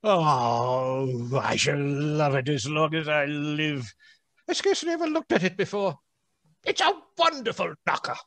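An actor playing an old miser turned joyful and generous, exuberant and exclamatory, in a theatrical radio play style. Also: male voice, on the high side, expressive, measured pace, a bit bright, no room sound. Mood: delighted, fond. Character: old miser reformed into giddy, childlike, overjoyed generosity.

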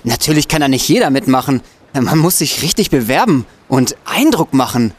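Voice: medium pitch, expressive, moderate pace, husky. An audio-drama performer playing a boastful, geeky student hacker, an eager and cocky explainer. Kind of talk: German audio drama acting.

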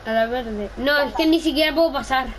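A woman's voice: sits high, fairly quick. A female gamer in casual game chatter, often putting questions to a playing partner. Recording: clearly noisy home gaming recording.

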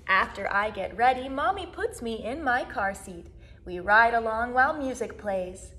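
Young woman, high-pitched animated storytime reader. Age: 20s. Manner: animated, sing-song, drawn-out words. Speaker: children's music class educator. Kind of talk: storytime read-aloud for young children. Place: small plain indoor room, seated.

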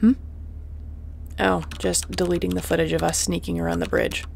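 A woman acts out a wanted female starship mercenary in an audio roleplay, with a very creaky, gravel-edged voice. She is terse, wry and commanding, yet protective. A faint ship noise sits behind her. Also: lowish voice, level tone, normal speed, a little mellow. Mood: wry, resigned. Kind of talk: intimate sci-fi audio roleplay acting.

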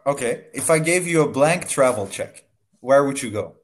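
A young man tutoring English over a video call on a headset in a small room, friendly and slow, laughing often. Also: medium pitch, lively intonation. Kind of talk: casual video-call lesson.

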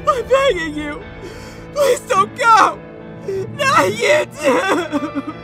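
A woman's voice: extremely high pitch, big pitch swings, very slow, music in the background, heavily acted. A woman voicing a small young knight creature, crying desperately with grief in a melodramatic cartoon comic dub. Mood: pleading. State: crying, sobbing.

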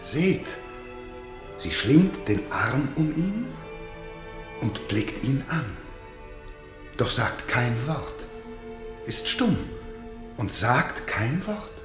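An onlooker at a royal court, full of awe. His delivery is extremely slow and lilting, set in a roomy old radio drama recording. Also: medium pitch, very animated. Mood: awestruck. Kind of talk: 1960s German radio drama acting. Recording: roomy 1960s radio recording, music behind.

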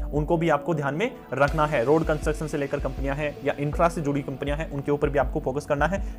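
An Indian man with a slightly high voice presents financial news as an informal explainer. He speaks straight to the listener and often repeats himself to make a point. Music plays behind his commentary. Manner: explanatory, repetitive, addressing viewers directly. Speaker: host of a stock market news channel.

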